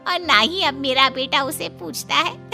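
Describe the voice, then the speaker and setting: A woman's voice: high voice, unhurried, creaky, bright, forceful tone, heavily acted. A woman voicing a cunning, gossiping older friend of the mother-in-law in a cartoon, sly and mocking. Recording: faint background music.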